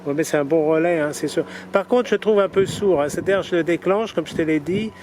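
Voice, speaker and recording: A man's voice: on the high side, fairly quick. A man chatting casually as an amateur radio operator, hesitant and repeating himself, often ending phrases with little tags. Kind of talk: casual ham radio chat. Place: outdoors, by road or vehicle. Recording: noisy outdoor recording with traffic.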